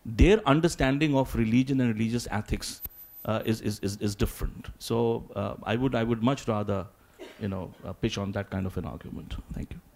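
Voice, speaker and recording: A man speaking as a symposium panelist, answering in a halting, deliberate academic way and often repeating words. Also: fairly low pitch, creaky, a little mellow.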